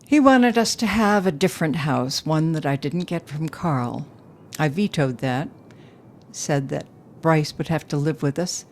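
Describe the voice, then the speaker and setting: A woman's voice: low-pitched, lively intonation, a little slow, a little hiss or hum. An actress playing a self-possessed, grieving businesswoman, telling her story reflectively in an intimate audio play monologue. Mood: somber, regretful.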